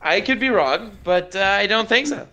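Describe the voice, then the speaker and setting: Bright, higher-voiced man debating anime. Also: expressive, moderate pace, dull audio. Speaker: stream host and anime video creator. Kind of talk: casual fan debate on a group voice chat. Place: online group voice chat.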